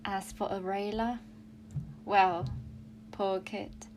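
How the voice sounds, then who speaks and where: A no-nonsense woman narrator with a mid-pitched voice and a dry, grumbling, blunt delivery for an audio play. Faint ambient noise sits underneath. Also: little pitch movement, takes it slowly, darkish tone. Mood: dry, reflective.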